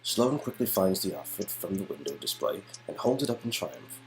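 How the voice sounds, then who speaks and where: A man's voice: low voice, sing-song, moderate pace, low-quality recording. Man narrating a story game over music. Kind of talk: scripted second-person story narration.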